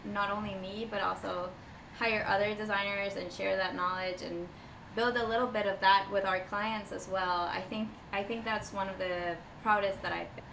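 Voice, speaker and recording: A woman's voice: mid-range voice, fairly even intonation, normal speed, fairly bright tone. A woman from Costa Rica answering interview questions casually as a podcast guest, with thoughtful, hesitant phrasing. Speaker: podcast guest, design strategist. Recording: distant mic, echoey, clearly noisy background.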